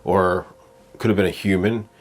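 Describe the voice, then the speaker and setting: A man, a paranormal investigator, recapping a night of activity with animated energy. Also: sits low, normal speed.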